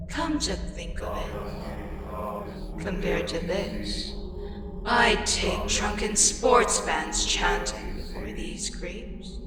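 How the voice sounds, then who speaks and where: A woman playing a weary, irritable ancient eldritch deity in a fantasy ASMR audio roleplay, sardonic and theatrical, with deliberate pauses. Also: mid-range voice, close to an everyday voice. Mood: weary, annoyed. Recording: echoing long reverb with loud music. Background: loud music.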